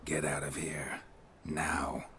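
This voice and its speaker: An actor playing a gruff, laconic witcher in a video game, with a gravelly voice and terse, dry delivery. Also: extremely low pitch, flat delivery, takes it slowly, a bit bright, long reverb tail, slight background noise, full character voice. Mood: quiet menace. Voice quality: gravelly, husky. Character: gruff, laconic male witcher with cat eyes. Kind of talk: video game dialogue acting.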